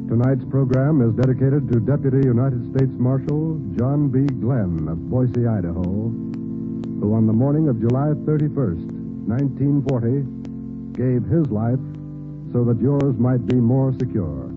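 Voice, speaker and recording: A man's voice: mid-range voice, measured pace. A man announcing in a solemn, formal radio style. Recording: tinny old radio broadcast over music.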